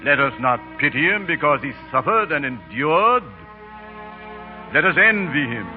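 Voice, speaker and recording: An actor playing a solemn, eloquent orator giving a funeral oration in grand, declamatory 1930s radio style. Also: male voice, a little high, sing-song, faint music behind. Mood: fervent, reverent. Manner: slow, declamatory, exalted. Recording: muffled 1930s radio broadcast recording.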